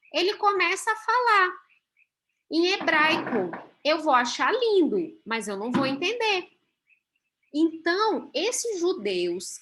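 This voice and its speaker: A woman's voice: high-pitched, fairly bright tone. A Brazilian woman teaching a Bible class over a video call, explaining step by step and often checking in with her listeners. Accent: Brazilian Portuguese.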